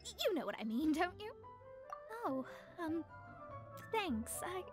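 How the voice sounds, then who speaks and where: A woman's voice: very high-pitched, big pitch swings, takes it slowly, exaggerated voice. A woman voicing a proud, easily flustered young astrologer, haughty and theatrical, in an anime-style game. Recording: music behind the voice.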